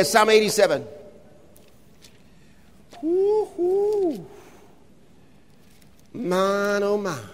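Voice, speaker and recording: A man preaching an impassioned sermon, shouting scripture with long drawn-out phrases. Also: high-pitched, big-room sound.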